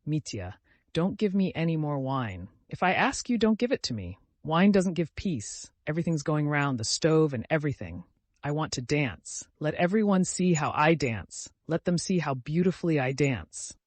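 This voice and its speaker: A man reading aloud, voicing a drunk, passionate young woman who babbles excitedly. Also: fairly high pitch, moderate pace, no room sound. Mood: tipsy pleading.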